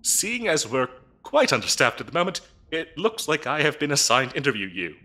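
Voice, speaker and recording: Comedy audio roleplay: officious male demon interviewer acted by a man, bright, slightly raspy, dryly polite, hesitant bureaucrat. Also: fairly high pitch, sing-song, brisk, exaggerated voice. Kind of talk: comedic audio roleplay acting, addressing the listener.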